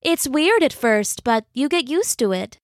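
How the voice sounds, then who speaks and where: Woman as a reassuring high-voiced girl crab. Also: sing-song, measured pace, dry recording, clean studio sound. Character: practical, kind, reassuring female hermit crab.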